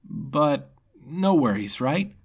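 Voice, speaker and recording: Man acting a cheerful, husky young wanderer, shifted higher. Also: sing-song, takes it slowly, exaggerated voice. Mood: carefree. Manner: hesitant, easygoing. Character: cheerful, dim, trusting young male sword-loving wanderer.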